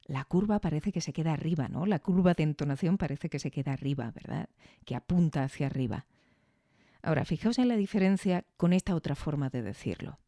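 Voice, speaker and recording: A podcast host giving a reflective spoken analysis, measured and didactic, often checking in with questions. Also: mid-range voice, expressive, dark tone, no echo, clean studio sound.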